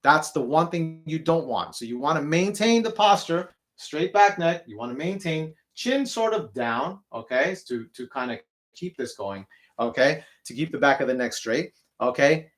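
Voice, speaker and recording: A man with a deep speaking voice teaching a singing lesson, who ends nearly every phrase with "okay?" and sings short demonstration notes. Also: animated, moderate pace.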